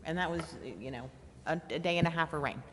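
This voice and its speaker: A woman in her forties speaking informally and haltingly at a public meeting. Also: low-pitched, noisy background. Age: 40s. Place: council chamber. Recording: reverberant hall.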